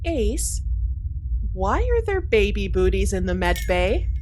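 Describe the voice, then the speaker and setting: A woman playing an obsessive, grandiose female ship computer in an audio drama, with a deliberate, theatrical delivery. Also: mid-range voice, big pitch swings, darkish tone, slight background noise. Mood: calm, puzzled.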